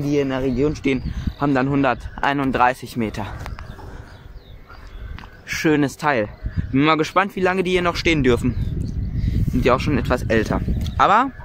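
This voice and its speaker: A man narrating casually from behind his phone camera as he walks an open hilltop wind farm, musing aloud with frequent fillers. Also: medium pitch. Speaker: wind turbine vlogger. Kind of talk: casual spoken commentary while filming. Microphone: phone camera mic, speaker behind the camera. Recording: outdoor wind and ambient noise.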